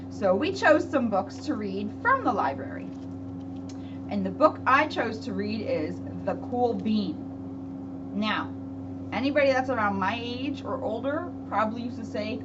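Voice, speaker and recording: A woman reading a picture book aloud to children in an expressive, chatty way, with casual asides. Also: on the high side. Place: library room. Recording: dull, very noisy with a hum.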